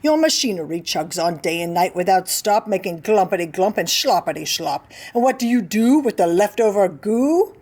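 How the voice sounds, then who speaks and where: A woman's voice: medium pitch, lively intonation, moderate pace, hardly any pauses, darkish tone. A woman voicing a small grumpy male forest creature who scolds and pleads, as in a read-aloud storybook. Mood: scolding.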